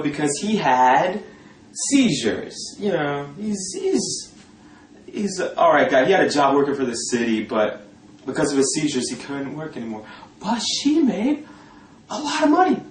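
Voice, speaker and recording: A man telling a personal story informally in a sardonic, gossipy, coarse-mouthed and animated way. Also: on the high side. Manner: animated, breaking often into laughter. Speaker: storyteller talking about a past religious community. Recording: roomy echo, distant mic, faint noise.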